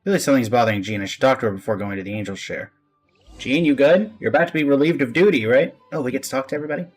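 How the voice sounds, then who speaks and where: A man's voice: mid-range voice, very fast, breathy edge, soft background music. A man streaming a video game with casual, chatty commentary.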